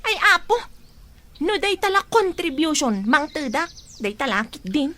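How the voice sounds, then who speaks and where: A woman's voice: sits high, big pitch swings, normal speed, pushed and bright, exaggerated voice. A woman playing a defiant, irritable young female student in an Ilocano radio drama. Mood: whiny, evasive. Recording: radio drama with music bed.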